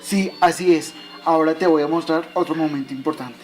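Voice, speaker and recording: A man's voice: a little high, fairly even intonation, fairly quick, faint music behind, lightly acted. A man playing a patient, instructive dead father in an amateur radio drama, explaining things to his son. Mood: patient, solemn.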